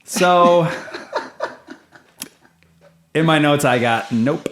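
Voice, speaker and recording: A man's voice: fairly high pitch, drawn-out words, a bit bright, echoey room. A man co-hosting a casual podcast, reading comments aloud and laughing often. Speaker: podcast co-host. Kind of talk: casual chat.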